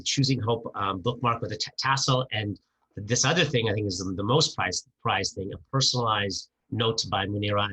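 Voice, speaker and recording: Male moderator, fairly low pitch, bright forceful voice, enthusiastic, stumbling over words. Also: slightly rough, poor audio. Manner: enthusiastic, halting with many fillers and restarts. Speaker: moderator of a virtual book launch. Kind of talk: casual event hosting. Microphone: video call.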